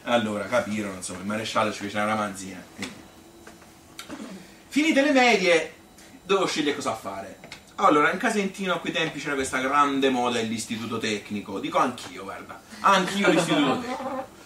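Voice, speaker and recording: A man's voice: mid-range voice, very animated, a little fast, pushed and bright. Man acting wry Tuscan storyteller, colloquial stage monologue, rambling and sociable, echoey room, faint background noise. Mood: wry recollection, then wry, bemused. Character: sociable, wry Tuscan man recalling his school days. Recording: roomy, distant mic with faint noise.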